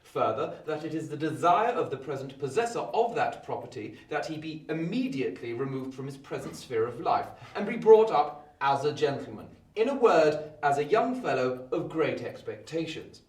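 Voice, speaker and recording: An actor playing a brisk, imperious Victorian lawyer with formal, emphatic phrasing in a theatrical radio play. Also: male voice, fairly high pitch, big pitch swings, darkish tone, recorded from a distance. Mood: stern, official. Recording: roomy echo, off the mic.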